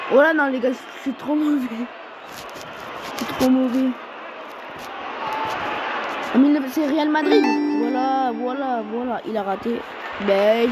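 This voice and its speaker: An excitable young man with a high voice, commentating a football quiz game and shouting at his rivals. Loud game crowd noise and clatter fill the background. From Portugal. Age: teens. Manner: excitable, shouts and swears at opponents. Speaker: gaming youtuber.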